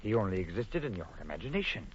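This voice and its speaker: An actor playing a high-strung trapped man who insists on reason and protests indignantly, in a vintage radio horror drama. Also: big pitch swings, quick pace, breathy edge. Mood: forced calm. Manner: urgent, theatrical, protesting. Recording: muffled, noisy old radio broadcast.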